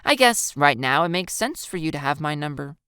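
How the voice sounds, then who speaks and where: A woman's voice: low voice, very animated, fairly quick, a bit bright, smooth, dry recording, studio quality, exaggerated voice. A woman voicing a mischievous, amused teenage schoolgirl in an audiobook-style story dub, playful and mock-innocent. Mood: gleeful. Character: teasing, gleeful teenage schoolgirl with a mischievous streak.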